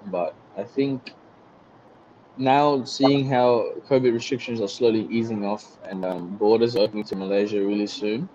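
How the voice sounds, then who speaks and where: A man's voice: on the low side, normal speed, slight background noise. Young man with an Australian accent on a headset. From Singapore. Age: young adult. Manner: relaxed, rambling with many likes and you-know-what-I-means. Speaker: guest. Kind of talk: casual video call chat. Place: small bright room indoors. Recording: dull lo-fi video call audio.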